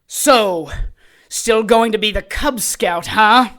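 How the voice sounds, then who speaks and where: A smug male villain in an audio drama. The voice is bright and sharp, drawing out each mocking taunt slowly and theatrically. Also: high voice, heavily acted.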